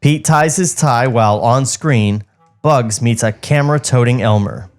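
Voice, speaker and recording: A man's voice: mid-range voice, a little slow, faint music behind. A man co-hosting a podcast, reading screenplay narration aloud and mixing in casual banter. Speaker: podcast co-host and script reader. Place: podcast studio.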